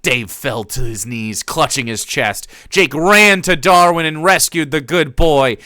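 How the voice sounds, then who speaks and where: Brief: young male streamer, dramatic read-aloud, bright edgy voice, dry close sound. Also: mid-range voice, creaky, no echo. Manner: theatrical, breaks off to laugh and comment. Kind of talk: dramatic reading of fan fiction.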